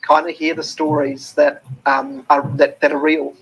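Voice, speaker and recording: A man's voice: fairly high pitch, little pitch movement, moderate pace, a bit bright, breathy edge, poor audio. A man with a New Zealand accent, an interview guest talking earnestly with many ums and restarts. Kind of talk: reflective interview talk.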